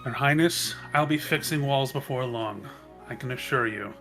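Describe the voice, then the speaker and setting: A man's voice: medium pitch, moderate pace, fairly bright tone, faint music behind, close to an everyday voice. A man voicing a stern but proud servant who mentors a young royal in a tabletop game. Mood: firm, proud.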